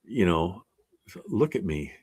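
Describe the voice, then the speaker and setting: Slow, deep-voiced older man instructor. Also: very animated, a little mellow, breathy edge. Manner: earnest, plainspoken and encouraging. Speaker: art resilience instructor. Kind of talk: casual encouraging class talk.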